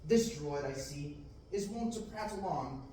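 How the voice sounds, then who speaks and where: A man's voice: a little high, animated, a little slow, warm tone, slight background noise. A man reading an eager young farm boy in declamatory Shakespearean verse at an amateur table reading. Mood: yearning. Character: restless young farm boy dreaming of adventure. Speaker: table-read actor. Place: large classroom, read from a table. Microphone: far room camera. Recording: echoey room, distant mic.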